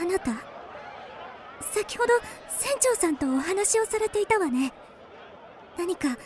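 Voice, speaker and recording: A woman's voice: very high-pitched, background noise, heavily acted. A woman playing an anxious, genteel young upper-class lady in a radio drama, speaking politely. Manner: genteel, polite feminine speech.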